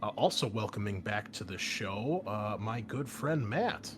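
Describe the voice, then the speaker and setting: A man's voice: fairly low pitch, animated, a little slow. A man hosting a tabletop game as game master, chatting casually as he introduces the session. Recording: clear background noise.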